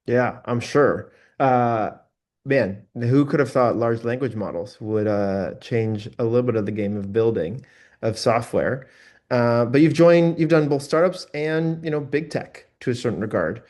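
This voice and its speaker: A man's voice: mid-range voice, a little slow, darkish tone. Upbeat man hosting a podcast. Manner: upbeat, chatty interviewer. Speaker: podcast host. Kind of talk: casual podcast interview.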